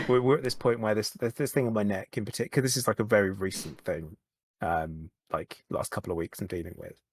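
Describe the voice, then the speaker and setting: A man, a podcast guest, talking candidly in a halting way, often repeating words and starting over. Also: fairly low pitch, husky, no echo. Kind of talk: candid conversational chat.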